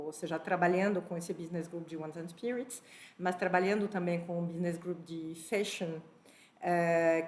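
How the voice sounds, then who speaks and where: A middle-aged woman with a French accent, speaking as a panelist on a video call in a measured way. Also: fairly low pitch, darkish tone, reverberant. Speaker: panelist, former HR director. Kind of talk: webinar panel talk.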